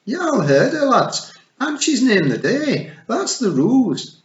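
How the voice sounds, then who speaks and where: A man voicing a tiny, fierce male pixie chief with a shrill, blustering Scottish accent. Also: huge pitch swings, measured pace, fairly bright tone, full character voice. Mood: bellowing. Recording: echoey, slightly dull.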